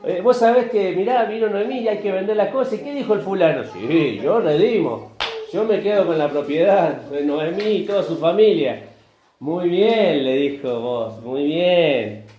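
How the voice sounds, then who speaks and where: A man's voice: on the high side, mellow, lo-fi sound. A man with an Argentine accent, a pastor preaching a conversational sermon in a church, asking the congregation questions and acting out short bits of dialogue. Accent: Argentine Spanish. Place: church hall. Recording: echoey church room, faint ambience.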